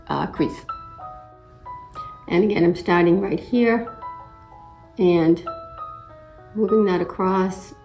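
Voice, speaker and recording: A middle-aged woman narrates a makeup tutorial while fighting a slight cold. Her voice sits low and sounds a little raspy and gravelly. She explains each step in an easy, chatty way, with faint music playing underneath. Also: rough recording. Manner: relaxed step-by-step explaining with frequent "uh" and throat clearing. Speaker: makeup vlogger.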